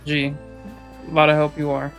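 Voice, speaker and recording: Blunt, gravelly male game streamer. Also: a little high, monotone, normal speed, fairly bright tone, soft background music. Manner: sarcastic, blunt, sweary. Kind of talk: casual reaction commentary over gameplay.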